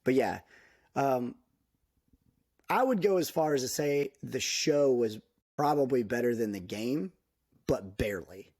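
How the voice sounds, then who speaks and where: Casual male podcast guest, mid pitch, dry close sound, animated and chatty. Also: measured pace, pauses often, dry recording. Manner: animated, laughs often, many fillers like "dude". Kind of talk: casual chat.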